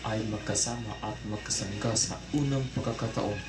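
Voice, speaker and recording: Low echoey Filipino male promo voice. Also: moderate pace. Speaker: station promo announcer. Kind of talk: scripted promo narration. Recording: roomy, echoing announcer track. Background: music.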